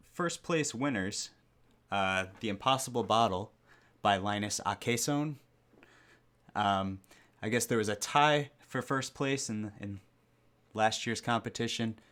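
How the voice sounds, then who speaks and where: Chatty low-voiced male podcast host. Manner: relaxed, chatty, with frequent ums and self-deprecating jokes. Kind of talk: casual livestream podcast chat.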